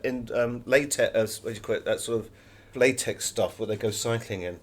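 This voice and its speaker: A man's voice: medium pitch, fairly quick, slight background noise. A British man speaking as an interviewed author, telling anecdotes in a wry, chatty and emphatic way.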